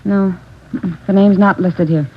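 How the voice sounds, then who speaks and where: An actress playing a polite, soft-spoken doctor's nurse in a 1940s radio drama. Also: female voice, medium pitch, steady tone, measured pace, slight background noise, close to an everyday voice. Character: polite young doctor's nurse at a front desk. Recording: dull lo-fi 1940s radio broadcast.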